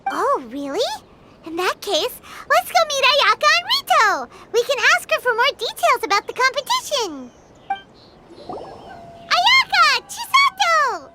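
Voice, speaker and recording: A woman voicing a tiny, bubbly, childish fairy companion in an anime game, squeaky and excitable. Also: a little slow, very noisy, exaggerated voice. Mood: excited. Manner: bubbly, speaks of herself in third person. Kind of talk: anime game character acting. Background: background music.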